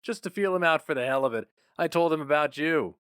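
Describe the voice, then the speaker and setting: A man voicing a chummy, smoothly persuasive company recruiter with a folksy, chatty, breezy manner. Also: on the high side, expressive, a little fast, fairly bright tone, no room sound, studio quality, voice pushed up. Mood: chummy, coaxing.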